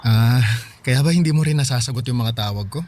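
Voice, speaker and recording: A man's voice: mid-range voice, brisk, a little mellow, lightly acted. A man acting a devoted, clingy young boyfriend in a Filipino radio drama, earnest and anxious. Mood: caring, gentle. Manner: pleading, earnest.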